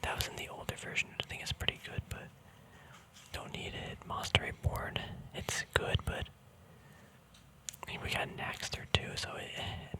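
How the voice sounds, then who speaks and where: A man whispering in a casual ASMR style, musing to himself in hesitant, trailing thoughts as he shows something off. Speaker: ASMR video creator showing a trading card deck. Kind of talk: whispered ASMR, casual rambling show-and-tell.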